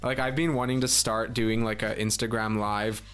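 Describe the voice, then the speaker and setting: A young man with a breathy voice, a musician chatting casually as a podcast guest and telling stories with lots of filler words. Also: on the low side, level tone, normal speed, fairly bright tone, soft background music. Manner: chatty, filler-heavy storytelling.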